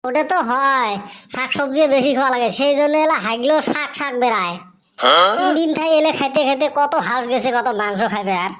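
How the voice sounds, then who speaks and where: Woman acting a village youngster, high voice, cheeky, complaining, comic cartoon dialogue. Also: brisk, a little hiss or hum, natural voice. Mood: sarcastic. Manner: complaining, back-talking.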